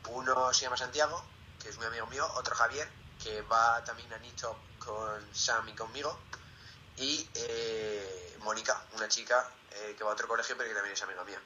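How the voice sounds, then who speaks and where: A teenage male student with a Peninsular Spanish accent and a very bright, sharp voice. He answers questions in a casual, conversational way. There is some faint noise behind him. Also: mid-range voice, steady tone, fairly quick, rough recording. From Zaragoza, Spain. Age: late teens. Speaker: interviewee, student.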